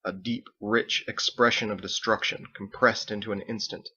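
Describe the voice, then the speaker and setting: A man's voice: lowish voice, fairly even intonation, normal speed, pushed and bright, breathy edge, highs cut off. A man reading his own story aloud as scripted audiobook narration.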